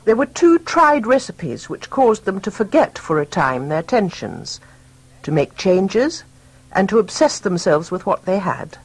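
Female storyteller: measured, wry delivery, breathy-rough tone, widely varied intonation. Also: fairly low pitch. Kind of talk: scripted story narration.

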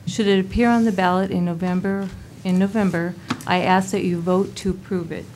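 A woman gives a prepared public comment in an earnest, respectful way, her voice on the low side for a woman. Faint noise hums behind her. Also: fairly even intonation, brisk, darkish tone. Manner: earnest, respectful, read-aloud. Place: council chamber. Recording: faint room noise in a council chamber.

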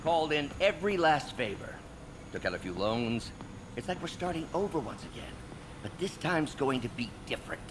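An actor playing a driven, middle-aged scientist mentor in a video game, intense and excitable. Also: male voice, a little high, normal speed. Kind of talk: dramatic video game voice acting. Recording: game audio under music and noise.

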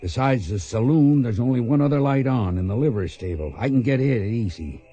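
An actor playing a seasoned, loyal gunfighter mentor with folksy, low-key Western talk in a radio drama. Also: male voice, animated, brisk, warm tone, breathy edge, soft background music. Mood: fond, hushed.

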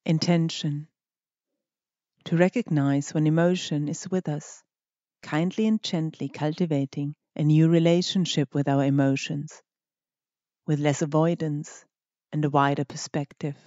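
A meditation guide speaking scripted instructions in a gentle, measured manner with deliberate pauses. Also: medium pitch, fairly even intonation, no echo, highs cut off.

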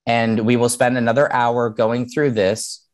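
A man's voice: medium pitch, little pitch movement, measured pace. A man in his thirties presenting an online seminar over a video call, explaining plainly in a casual way and often checking in with "right?". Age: 30s. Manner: conversational, explanatory, with frequent "um" and "right?". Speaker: mortgage lender teaching a webinar.

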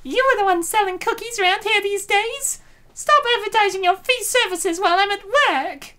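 Woman acting a shrill, theatrical cartoon office lady. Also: moderate pace, pushed and bright. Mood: annoyed. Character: bossy, cookie-greedy young office lady growing fat. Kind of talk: comic dub acting.

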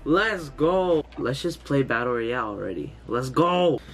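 A man's voice: mid-range voice, animated, a little slow, a little hiss or hum. A young man with a congested, stuffy nose, giving hyped, slangy gameplay commentary indoors. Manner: hyped, slangy, loud exclamations. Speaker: gaming youtuber. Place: indoor room, green screen. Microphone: headset, webcam framing.

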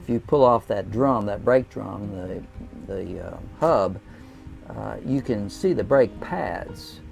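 A man's voice: on the low side, animated, vocal fry, darkish tone, faint music behind. An older man, an RV travel vlogger, giving plain, folksy how-to tips to camera from inside a camping trailer on a lapel mic. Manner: plain, folksy, unhurried with frequent "uh" fillers. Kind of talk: casual how-to talk to camera. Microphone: clip-on lapel mic.

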